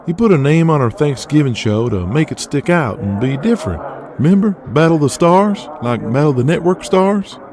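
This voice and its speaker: A man playing an eager, loyal wrestling booker in an audio drama, pitching things in an informal, persistent way. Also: expressive, brisk, darkish tone, lightly acted.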